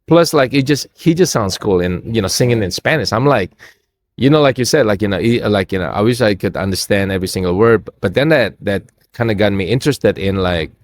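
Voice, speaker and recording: A man's voice: lowish voice, lively intonation, a little fast, slight background noise. A man whose first language is Indonesian, a band member, speaking in an easygoing, laid-back way in a casual interview.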